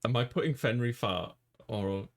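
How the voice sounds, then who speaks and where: A man's voice: medium pitch, normal speed. A man hosting a gaming stream, talking in a casual, bantering way. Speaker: video game streamer and host. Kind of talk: casual banter while wrapping up a gaming session.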